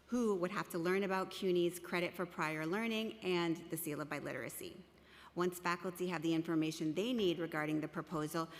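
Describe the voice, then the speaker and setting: Russian-born woman giving formal testimony. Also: fairly low pitch. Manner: measured, formal reading. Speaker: professor testifying at a hearing. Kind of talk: prepared testimony. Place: council chamber. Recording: long reverb of a large hall.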